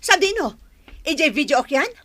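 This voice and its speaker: A woman's voice: high-pitched, sing-song, moderate pace, sharp tone, full character voice. An actress in an Ilocano radio drama playing a nagging, suspicious, exasperated wife and mother with an Ilocano accent and a scolding manner. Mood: angry, nagging. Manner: scolding, emotional.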